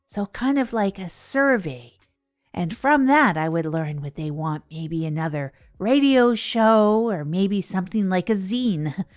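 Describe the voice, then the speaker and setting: A woman's voice: medium pitch, expressive, a little slow, no room sound, studio quality, natural voice. A woman playing a self-deprecating radio host in an improvised comedy audio drama, conversational and given to digressions. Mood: chatty, wry. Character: chatty, rambling radio show host chronicling self-improvement attempts. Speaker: host and narrator.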